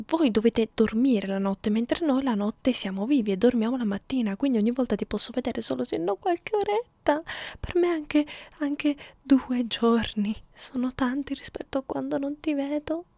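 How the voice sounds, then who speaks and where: A woman voicing a hungry, clingy vampire girlfriend in an intimate ASMR roleplay, murmuring softly and slipping into breathy whispers. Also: sits high, no room sound. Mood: needy, hungry. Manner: coaxing, halting, close-up murmuring with small hums. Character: hungry immortal female vampire girlfriend, clingy, teasing, predatory.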